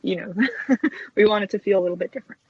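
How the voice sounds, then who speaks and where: A woman's voice: medium pitch, quick pace, breathy edge, no room sound. A chatty woman guest, an animator explaining her craft casually in an interview over dull web audio. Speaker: guest stop-motion animator and puppet maker.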